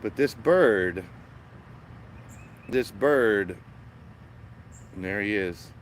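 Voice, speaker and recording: Raspy, lowish male host chatting live from a garden, small mic, faint outdoor hum. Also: expressive, very slow. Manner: chatty, greets viewers by name. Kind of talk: casual live-stream chat. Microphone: small phone-mounted microphone.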